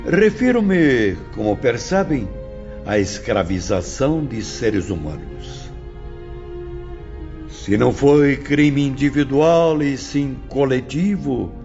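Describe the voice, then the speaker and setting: A man acts a grave, impetuous Brazilian spirit lecturer in an audiobook. He preaches with slow emphasis and sweeping intonation, in a dull recording over clear music. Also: medium pitch, a little mellow, exaggerated voice.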